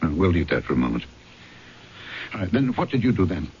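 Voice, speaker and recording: An actor playing a probing, impatient magistrate with a theatrical delivery, in the style of old-time radio drama. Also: male voice, low voice, sing-song, husky, exaggerated voice. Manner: brisk questioning, theatrical. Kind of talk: period radio drama acting. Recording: dull old radio recording.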